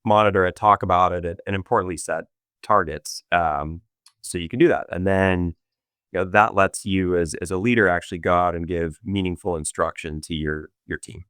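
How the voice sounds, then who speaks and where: A man being interviewed as a business executive, explaining his views in an animated way with many restarts. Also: sits low, normal speed, clear, resonant tone, dry recording, clean studio sound. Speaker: guest, revenue operations executive. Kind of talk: casual interview chat.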